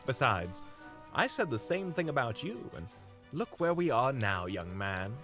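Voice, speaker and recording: Man playing a genial, lively, whimsical young hunter. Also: medium pitch, a little slow, faint music behind. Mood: teasing. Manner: courteous, playful, musing. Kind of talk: fantasy audio roleplay acting.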